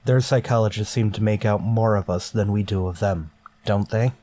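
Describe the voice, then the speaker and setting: An actor playing a stern, weary starship captain in a sci-fi radio drama, clipped and authoritative. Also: male voice, fairly low pitch, normal speed, warm tone, no echo, close to an everyday voice. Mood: dry, controlled.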